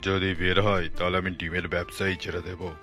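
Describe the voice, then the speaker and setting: A salesy cartoon egg seller, acted by a man, full of assurances. His voice is low and forceful with little melody, over faint music. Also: little pitch movement, moderate pace. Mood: assuring, boastful. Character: boastful, salesy poultry farm egg seller. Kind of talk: cartoon voice acting.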